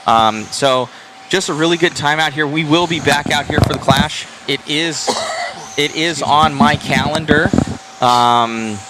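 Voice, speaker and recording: A man's voice: on the high side, unhurried, very noisy. A tired man hosting a livestream, chatting loosely and in a friendly way to wrap up an event at an indoor race track. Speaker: livestream host. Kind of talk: casual end-of-event chat.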